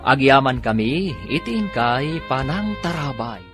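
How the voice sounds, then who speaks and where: A man announcing the credits of a radio drama in a measured, deliberate way. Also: medium pitch, very animated. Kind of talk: scripted announcing. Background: music.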